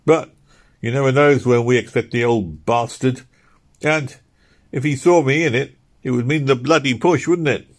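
A man's voice: mid-range voice, moderate pace, pitched above the performer's own voice, lightly acted. A man voicing a cold, complaining house painter in a storybook reading. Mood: grumbling.

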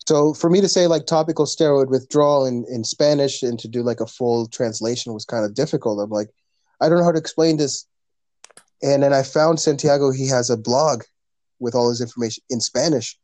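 Casting: guy, mid-pitched, earnest and rambling, podcast guest, dry recording. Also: a little fast, a little mellow, no room sound. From Canada. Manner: earnest, rambling, frequent fillers and restarts. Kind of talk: casual conversation.

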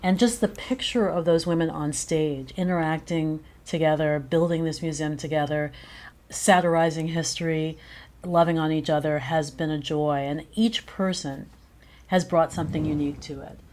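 A woman's voice: low-pitched, fairly even intonation, normal speed, darkish tone. A woman being interviewed as a guest playwright, talking conversationally with effusive, emphatic praise.

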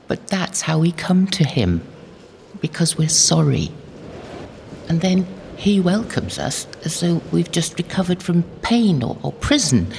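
An actress playing a devout, motherly older holy woman in an audio drama, gentle, knowing and unhurried. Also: deep voice, vocal fry, big-room sound, a little hiss or hum, full character voice.

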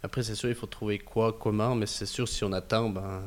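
A man's voice: fairly low pitch, fairly even intonation, brisk. A man with a Swiss French accent from the Gruyère region, a business owner talking steadily and plainly as a guest in a casual interview.

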